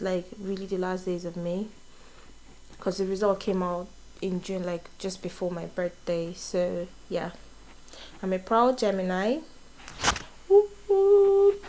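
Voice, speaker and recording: A woman's voice: mid-range voice, slight background noise, rough recording. A young adult woman with a Nigerian accent, whose first language is not English, chatting casually in a vlog, laughing often and wandering into asides. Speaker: vlogger. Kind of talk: casual get-to-know-me vlog.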